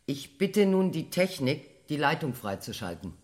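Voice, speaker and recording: A man's voice: a little high, sing-song, unhurried. An actor playing a formal, officious chairman of an official hearing in a radio drama.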